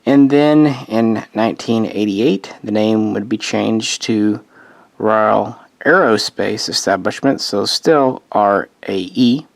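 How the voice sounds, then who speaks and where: A man's voice: lowish voice, slow, vocal fry, dry recording. A man losing his voice, casually narrating a history explainer as host, rambling and correcting himself with offhand asides.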